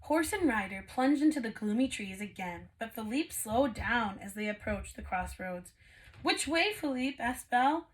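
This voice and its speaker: A woman who teaches kindergarten prep, reading a storybook to young children in an expressive, animated way. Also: mid-range voice, normal speed, few pauses, low-quality recording. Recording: clear background noise.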